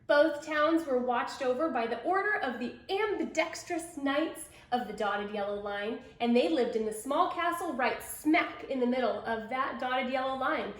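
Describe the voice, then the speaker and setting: Woman, high-pitched voice, echoey room, children's read-aloud, expressive storyteller. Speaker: storybook reader. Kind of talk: children's picture book read-aloud with asides to young listeners.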